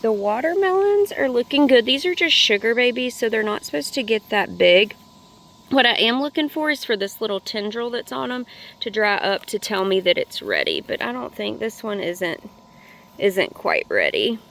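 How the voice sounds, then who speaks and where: A woman vlogging a casual walk-through of her home garden, speaking in a chatty, relaxed way with a hoarse, congested voice. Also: fairly high pitch, moderate pace. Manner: chatty, relaxed, thinking aloud.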